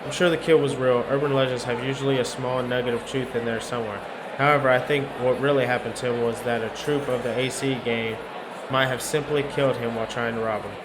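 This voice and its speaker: Wry male narrator over clearly noisy background. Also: medium pitch, steady tone, quick pace. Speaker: game player reading narration aloud. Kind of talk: first-person story narration of a visual novel. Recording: noisy urban ambience behind.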